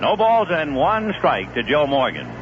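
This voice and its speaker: A man calling a baseball game live on the radio, swinging from calm analysis between pitches to rising excitement on big plays, in a 1970s broadcast. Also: unhurried, highs cut off, noisy background. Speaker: play-by-play announcer. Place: stadium broadcast booth.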